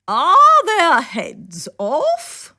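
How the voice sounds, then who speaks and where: A woman's voice: very high-pitched, wildly swooping pitch, very slow, sharp tone, voice pushed up, exaggerated voice. A woman voicing a bossy, furious Queen in a children's storybook, with an imperious, commanding delivery. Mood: imperious, demanding. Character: bossy, furious Queen of playing cards, shrieking orders.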